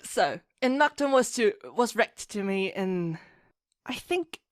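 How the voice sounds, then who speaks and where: A woman chatting casually as a podcast guest, telling stories in an animated way and acting out quotes. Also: medium pitch, takes it slowly, dry recording, studio quality. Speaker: podcast guest, fan musician and writer. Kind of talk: casual chat.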